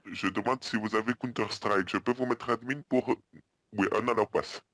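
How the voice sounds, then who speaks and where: A man playing an earnest, muddled hotel guest on a prank phone call, hesitant, repetitive and politely deadpan. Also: lowish voice, moderate pace, dry recording, studio quality, close to an everyday voice. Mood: deadpan, insistent. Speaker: prank caller.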